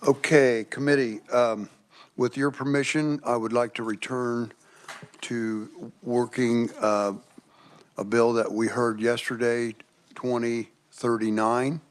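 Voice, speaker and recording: A man's voice: medium pitch, level tone, slow, clean tone. A man chairing a legislative committee meeting, running procedure in a courteous manner.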